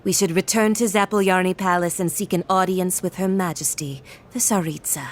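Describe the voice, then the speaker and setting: An actress playing a haughty, elegant villainess in a video game, mocking and commanding in manner. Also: female voice, lowish voice, steady tone, brisk, slight background noise, close to an everyday voice. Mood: mocking, aloof.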